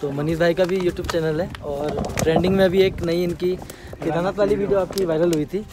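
A man's voice: high-pitched, steady tone, brisk. A man in his twenties hosting a casual travel vlog outdoors on a misty mountain trail. He talks straight to his viewers and asks his companions questions, with the camera held at arm's length on a selfie stick. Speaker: motovlogger host. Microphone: handheld camera on a selfie stick at arm's length. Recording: loud wind noise on camera mic. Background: loud wind and outdoor noise.

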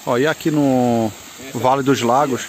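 A man's voice: medium pitch, steady tone, unhurried. A man giving a casual, rambling walking tour of a rural campsite, filmed on a handheld camera outdoors. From Northeast Brazil. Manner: chatty, pointing things out, loose and rambling. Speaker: moto travel vlogger. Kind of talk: casual vlog tour narration.

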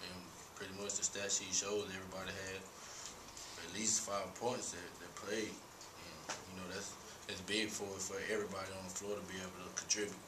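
A young man, a college basketball player, answering postgame questions at a press conference in a relaxed way, with many fillers. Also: low-pitched, fairly quick. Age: young adult. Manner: relaxed, laughs easily, many "you know" fillers. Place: small press room. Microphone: table mic. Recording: roomy echo, distant mic, hum.

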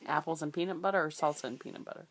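A low-voiced woman talks from behind a phone camera in a living room, playing a customer in her children's pretend restaurant. Her teasing is playful and mock-serious. The home video carries steady background noise. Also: racing. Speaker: mother filming her children. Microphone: phone camera held by speaker off screen.

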